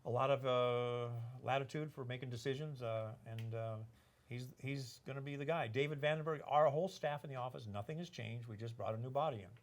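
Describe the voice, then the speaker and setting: A man's voice: mid-range voice, fairly quick. An older man hosting a casual podcast, rambling and joking, with lots of fillers and restarts. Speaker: podcast host, organization executive.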